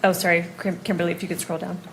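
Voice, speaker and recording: Even-toned woman directing a hearing. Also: fairly low pitch, racing, slight background noise. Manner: polite, directing. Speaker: attorney questioning a witness. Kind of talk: procedural courtroom instructions.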